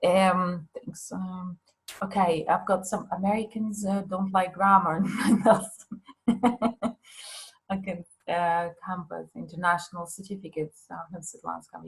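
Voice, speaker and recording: A woman's voice: on the low side, fairly even intonation, a little slow, low-quality recording. A woman presenting a teaching course in a live online question session, chatty and hesitant, with many fillers and restarts. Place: Limassol.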